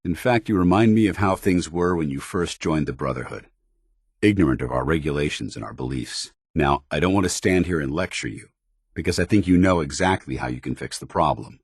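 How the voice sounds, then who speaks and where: An actor playing a stern, duty-bound military commander in a video game, speaking formally with clipped authority. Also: male voice, very deep voice, animated, fairly quick, husky, dry recording, studio quality, full character voice. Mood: stern, angry. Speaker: commanding officer. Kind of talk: scripted video game dialogue.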